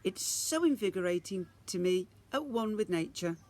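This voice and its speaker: A man's voice: high-pitched, lively intonation, moderate pace, darkish tone, voice pushed up. A man voicing a breezy, cheery fairy mother from a storybook with a coaxing sing-song manner. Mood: delighted.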